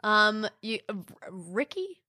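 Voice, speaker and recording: A young man co-hosts a loose chat, laid-back and full of slang, laughing often. His voice sits fairly high for a man, and the sound is dry and close. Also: big pitch swings, unhurried, bright, forceful tone, no room sound. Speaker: podcast co-host. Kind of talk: casual chat.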